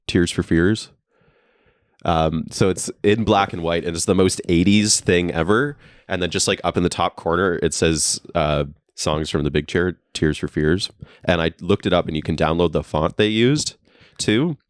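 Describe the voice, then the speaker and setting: A man's voice: low-pitched, moderate pace, no room sound, clean studio sound. A man co-hosting a casual podcast from a friend's basement, talking in a laid-back, self-mocking way with plenty of fillers. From Canada. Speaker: podcast co-host and editor. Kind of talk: casual chat.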